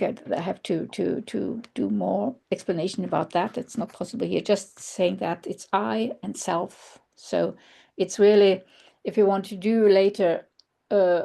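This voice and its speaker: A woman with a German accent, a non-native English speaker, giving an online conference presentation in a halting, thoughtful way, with many fillers and restarts. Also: mid-range voice, gravelly, mellow. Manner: thoughtful, searching for words. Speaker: researcher presenting.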